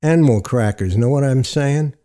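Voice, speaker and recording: A man acts out a streetwise convict from an audio story, wry and knowing. His speech is colloquial and vernacular, in a mellow voice pitched up a little for emphasis. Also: normal speed, natural voice. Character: streetwise male prison inmate, wry and knowing.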